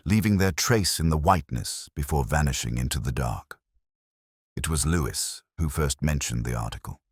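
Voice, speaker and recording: A man plays a brooding, pedantic police inspector telling his story in an audio mystery drama. The voice is extremely deep, with a lot of movement in its melody. The delivery is measured and literary, recorded close and dry. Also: slightly rough, no echo, exaggerated voice. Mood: brooding, uneasy. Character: melancholy, pedantic male police inspector recounting an old case. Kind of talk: scripted first-person mystery narration.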